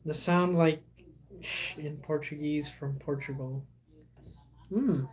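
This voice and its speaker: A young man, a Spanish speaker, hosting a casual livestream and chatting with viewers in a rambling, halting way full of fillers and restarts. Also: fairly high pitch, fairly even intonation, low-quality recording. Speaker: livestream host.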